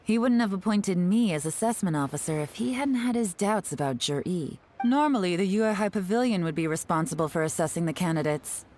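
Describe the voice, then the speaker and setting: A woman's voice: on the low side, lively intonation, fairly quick, few pauses, a little mellow, lightly acted. An actress playing a confident, shrewd female intelligence agent in a video game, composed and matter-of-fact. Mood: composed, assured. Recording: music behind the voice.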